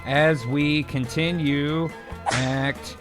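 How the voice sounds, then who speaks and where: Informal, higher-voiced man narrating over music. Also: fairly even intonation. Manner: profane, informal, halting asides. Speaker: narrator and co-host of an improvised comedy show. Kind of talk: casual banter mixed with story narration.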